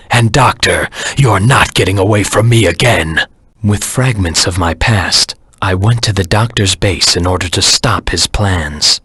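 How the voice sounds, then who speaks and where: A male voice actor playing a brooding, determined hedgehog anti-hero in a video game, with a gravelly rasp and a grim, deliberate delivery. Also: sits low, bright, forceful tone, no room sound, full character voice. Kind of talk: scripted game dialogue and story narration.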